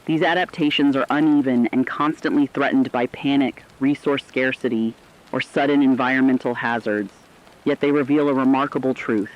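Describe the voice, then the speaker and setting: A man playing an earnest radio reporter, reading formal, measured news narration in a dramatic scripted style. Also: mid-range voice, fairly even intonation, lo-fi sound, lightly acted. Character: earnest radio reporter analysing a world catastrophe.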